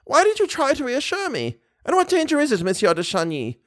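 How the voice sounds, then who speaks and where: A man voicing an anxious old widow, high-pitched. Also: huge pitch swings, a little fast, fairly bright tone, dry recording, studio quality, heavily acted. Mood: alarmed, pleading. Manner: fretful, exclamatory. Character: anxious elderly widow, kindly, simple adoptive mother.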